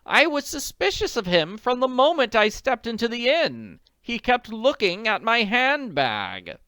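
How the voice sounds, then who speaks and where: A woman voicing an agitated, elegant middle-aged woman in a storybook, pleading in a breathless, drawn-out way. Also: on the high side, very animated, fairly bright tone, no echo, voice pushed up. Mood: distressed. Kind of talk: audiobook character voice.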